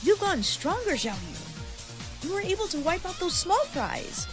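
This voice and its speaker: A bold young warrior woman played by an actress in an audio drama. Her voice is high and very lively in pitch, with music clearly behind it. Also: measured pace, full character voice. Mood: urging. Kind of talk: fan audio drama acting. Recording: music under the voice.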